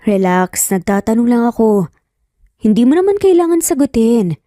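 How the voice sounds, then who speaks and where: Woman voicing a gentle, mellow young man in love. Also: on the high side, big pitch swings, moderate pace, dry recording, clean studio sound. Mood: teasing. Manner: gentle, sincere, code-switching into English. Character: earnest, teasing young male engineer in love. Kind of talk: audio romance storytelling.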